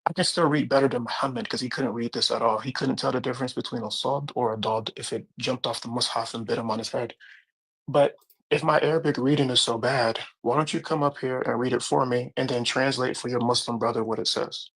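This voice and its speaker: A man's voice: medium pitch, fairly even intonation, a little fast, creaky, a bit bright, slightly rough, low-quality recording. A man in a casual live-stream debate, talking bluntly and challenging others. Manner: blunt, challenging, reads scripture aloud. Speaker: debate panelist.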